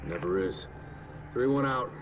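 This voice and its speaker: An actor playing a terse, urgent CIA field operative in a video game, talking in clipped military phrases. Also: male voice, medium pitch, unhurried, lo-fi sound, lightly acted. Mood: grim, urgent. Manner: clipped military radio talk. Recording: distant game audio with background noise.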